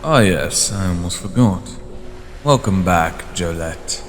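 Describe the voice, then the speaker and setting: A man voicing a composed, high-ranking vampire leader, speaking formally and with authority in a fantasy role-play drama. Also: lively intonation, takes it slowly. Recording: long hall reverb, clear background noise.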